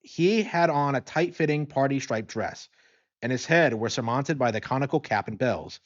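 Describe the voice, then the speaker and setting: Male narrator, dramatic story reading, medium pitch, very expressive intonation, slightly dull recording. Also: moderate pace. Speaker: audiobook narrator.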